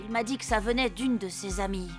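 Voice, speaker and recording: A woman's voice: medium pitch, fairly quick, soft background music, lightly acted. An actress playing a defensive, hesitant boy in a cartoon dub.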